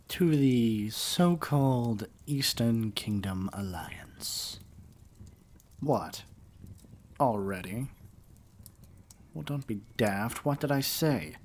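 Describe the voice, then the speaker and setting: Evil king for ASMR roleplay, male actor: lowish, unhurried, haughty and menacing, with noise behind the voice. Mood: grudging, irritated. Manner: pompous, deliberate, dripping with disdain. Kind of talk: theatrical ASMR roleplay monologue.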